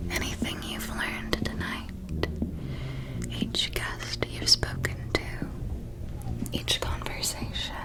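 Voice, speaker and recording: A woman playing an elegant, knowing vampire mentor in an ASMR roleplay, in a soft, breathy, genteel whisper. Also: extremely low pitch, exaggerated voice. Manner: slow, deliberate, genteel, intimate. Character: elegant female vampire mentor, possessive, knowing, quietly proud.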